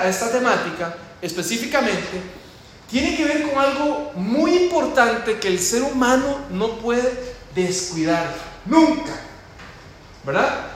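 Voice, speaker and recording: A man with a Costa Rican accent preaching and teaching in a church, praying and asking the congregation questions with emphasis. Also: very high-pitched. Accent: Costa Rican Spanish. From Costa Rica. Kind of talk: church teaching talk with prayer. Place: large echoey church hall. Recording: very echoey hall with faint noise.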